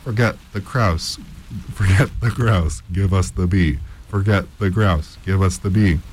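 A man's voice: medium pitch, wildly swooping pitch, a little slow, creaky, a bit bright, slight background noise, pitched above the performer's own voice, heavily acted. A man voicing rowdy, tipsy scientists in a story, heckling with insistent chants. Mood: rowdy, demanding. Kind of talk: acted dialogue in a storybook reading.

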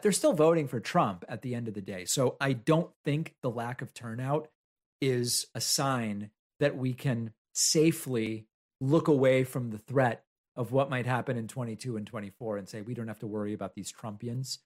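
A man's voice: medium pitch, normal speed, darkish tone. Man talking politics in a conversational tone. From Argentina. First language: Spanish. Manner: conversational, argumentative, argues with imagined objections. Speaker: political commentary host. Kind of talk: solo political commentary.

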